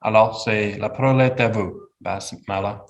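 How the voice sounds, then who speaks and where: A man's voice: fairly low pitch, steady tone, normal speed, gravelly. A man hosting a ceremony, formally introducing each speaker. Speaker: event host. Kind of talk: ceremony emcee.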